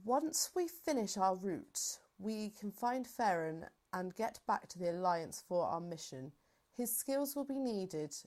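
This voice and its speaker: Resolute general played by a woman, animated delivery. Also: mid-range voice, measured pace. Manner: formal, authoritative. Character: resolute, dignified starship general. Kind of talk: scripted fan audio roleplay.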